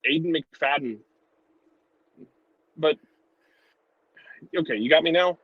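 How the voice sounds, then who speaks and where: A man's voice: fairly high pitch, slow, breathy edge, dry recording, lo-fi sound. A man giving casual sports analysis in an informal, conversational way, repeating words for emphasis. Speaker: soccer show commentator.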